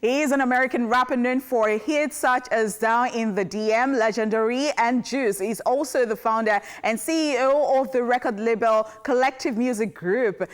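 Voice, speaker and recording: A woman's voice: fairly high pitch, normal speed, few pauses. A woman from Nigeria co-hosting a TV entertainment news show, chatting casually with many fillers and laughs. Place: TV studio.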